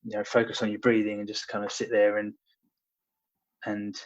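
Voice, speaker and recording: Lowish monotone guy on a podcast, lots of ums and restarts, kinda muffled audio. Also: moderate pace. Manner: hesitant, many fillers and restarts. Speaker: podcast guest, personal trainer. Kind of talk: casual conversational storytelling. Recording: dull lo-fi audio.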